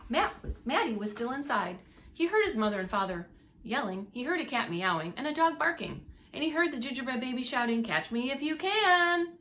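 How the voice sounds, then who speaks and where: A woman reading a picture book aloud to young children as a preschool teacher, expressive and emphatic, with little asides to her listeners. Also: on the high side, gravelly, slight background noise. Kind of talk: expressive storybook read-along for young children.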